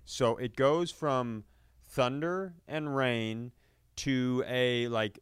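A man's voice: mid-range voice, very slow, clean tone, dry recording, clean studio sound. A man co-hosting a comedy podcast, telling anecdotes in a casual, rambling way with some swearing. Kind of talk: casual comedic storytelling chat.

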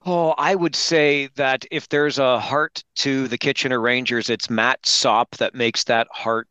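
A man with a crisp-edged, bright voice talks hockey as a guest analyst. He lays out his points in an articulate, measured way, at a middle pitch. The sound is dry and close, with no room ring. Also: steady tone, dry recording. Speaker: hockey play-by-play broadcaster and guest commentator. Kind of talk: sports podcast interview analysis.

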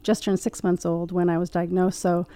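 A woman in her forties, a podcast guest, talking quietly and softly with frequent hesitations. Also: lowish voice, flat delivery, moderate pace, dry recording. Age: 40s. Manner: quiet, soft-spoken, hesitant with fillers. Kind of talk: casual interview chat. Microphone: studio podcast mic.

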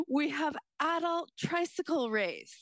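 A woman's voice: high-pitched, expressive, slow pace, fairly bright tone, dry recording, poor audio. A woman chatting casually on air as a community reporter.

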